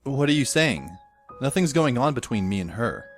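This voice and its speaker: A man's voice: medium pitch, very animated, normal speed, natural voice. A man playing a sardonic, exasperated teenage schoolboy who narrates and acts his own story in an amateur audio drama, wordy and dry. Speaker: narrator and lead character. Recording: faint music behind the voice. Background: faint music.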